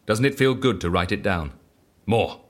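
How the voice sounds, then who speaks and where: A man playing an eccentric, self-assured devotee of chance in an audio drama, asking clipped, probing questions and speaking with calm conviction. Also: on the low side, moderate pace, close to an everyday voice. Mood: coaxing.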